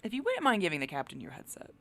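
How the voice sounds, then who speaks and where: A woman playing a composed, politely businesslike official in an audio drama, speaking in a measured, formal and courteous way. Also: on the low side, wildly swooping pitch, gravelly, a bit bright, exaggerated voice.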